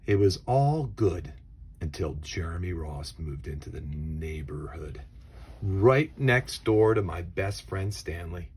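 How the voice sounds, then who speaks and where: A man narrates a children's picture book in a low voice with a very breathy, husky edge. His delivery is animated and theatrical, leaning on key words and easing into hushed asides. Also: slow. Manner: expressive, dramatic emphasis with hushed asides. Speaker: storybook reader.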